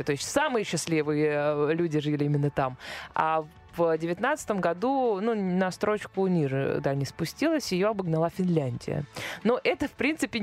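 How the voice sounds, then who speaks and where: A woman's voice: fairly low pitch, darkish tone. A woman hosting a radio interview show, chatty and hesitant, with many fillers as she asks questions.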